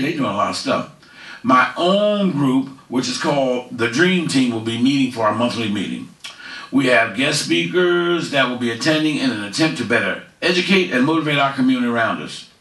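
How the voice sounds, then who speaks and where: A middle-aged man hosts his own community commentary show from a living-room couch, talking in a chatty, folksy way. His voice is bright and forceful. It sounds a little distant in a roomy space. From Philadelphia area, USA. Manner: chatty, folksy, pitching local events. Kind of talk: casual commentary to camera. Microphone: headset mic, far camera.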